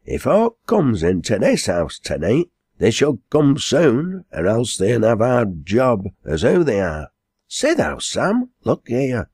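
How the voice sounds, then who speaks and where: Male reader as excitable farmhand, storybook, Lancashire accent, raised pitch, husky, exclaiming. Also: takes it slowly, dry recording, studio quality, full character voice. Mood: amazed. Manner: exclaiming in broad dialect.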